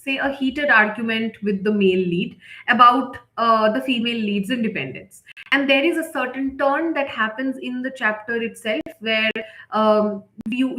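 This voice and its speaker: Woman instructor, voice on the high side, unscripted live-lesson delivery, emphatic repeats, very roomy echo. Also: a bit bright. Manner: unscripted, emphatic with repetition and check-in tags. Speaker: writing workshop instructor. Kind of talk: live online lesson, explanatory. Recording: echoey, roomy sound.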